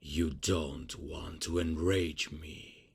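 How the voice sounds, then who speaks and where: Male, actor as domineering vampire patriarch, very husky and creaky, slow commanding menace for dark audio roleplay. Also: very deep voice, fairly even intonation, heavily acted. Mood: threatening. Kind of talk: dramatic ASMR audio roleplay.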